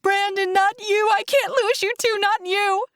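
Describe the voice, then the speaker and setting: A woman's voice: very high voice, steady tone, measured pace, fairly bright tone, dry recording, clean studio sound, voice pushed up. A woman voicing a desperate, grieving woman in labor, pleading and crying out in a dramatic audiobook. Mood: panicked pleading. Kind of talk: dramatic audiobook character acting.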